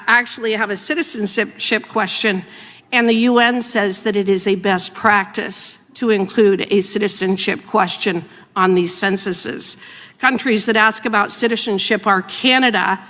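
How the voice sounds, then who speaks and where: A woman's voice: mid-range voice, fairly even intonation, a little slow, bright, forceful tone. An American woman speaking formally as a politician questioning witnesses at a hearing. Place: large indoor hearing room. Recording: reverberant hearing room.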